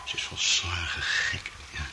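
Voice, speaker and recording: An actor playing an excitable, anxious professor in a Dutch radio drama, halting and repeating his words. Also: natural voice. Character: excitable, anxious male professor searching for a lost woman. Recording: noisy old radio recording.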